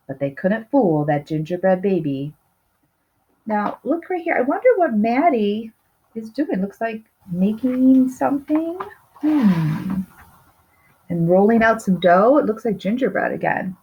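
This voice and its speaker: A woman's voice: medium pitch, big pitch swings, unhurried, a little hiss or hum. A woman reading a children's storybook aloud expressively, with little asides to the listeners. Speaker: storybook reader. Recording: slightly dull, lo-fi sound.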